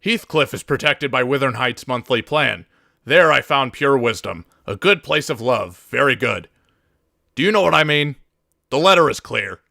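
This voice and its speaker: A man's voice: medium pitch, lively intonation, moderate pace, gravelly, sharp tone, dry recording, studio quality. A man doing a scripted reading of game monologues.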